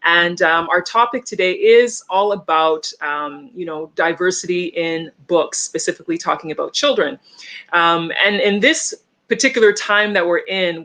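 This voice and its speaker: A woman hosting a live-streamed interview, chatty and relaxed with frequent fillers. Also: lowish voice. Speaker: podcast host.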